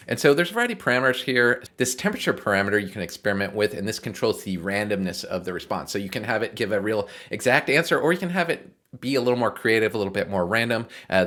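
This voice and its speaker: A man's voice: on the low side, a bit bright. A man giving an informal, explanatory screencast tutorial on coding, often checking in with the listener. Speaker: programming tutorial host. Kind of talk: casual walkthrough of code in a screencast tutorial.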